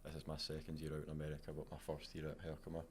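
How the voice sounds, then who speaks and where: A man's voice: extremely low pitch, monotone, rapid-fire, slight background noise. A young man with a Glaswegian accent, a student soccer captain giving brief, plain interview answers in a college studio. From Scotland. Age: young adult.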